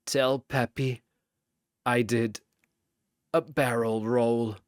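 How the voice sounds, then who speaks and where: A man's voice: mid-range voice, fairly even intonation, very slow, smooth, no room sound. A man voicing a plucky, unflappable male fox pilot in an action story, with a dry, sardonic manner. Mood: fading, wistful.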